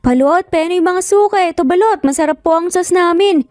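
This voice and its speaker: A woman's voice: very high voice, normal speed, darkish tone, dry recording, studio quality, soft background music, pitched above the performer's own voice. A woman voicing a friendly, polite and teasing male street-food vendor in a romance story.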